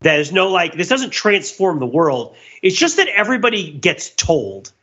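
A man's voice: high-pitched, very animated, moderate pace, bright, forceful tone, husky, dull audio. A man co-hosting a podcast, explaining things in a wordy, analytical way in casual pop-culture discussion. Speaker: podcast host.

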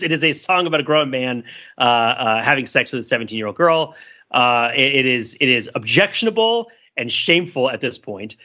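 A man, a podcast co-host talking casually and analytically, explaining his points in a wordy, halting way. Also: mid-range voice, bright, forceful tone, dull audio.

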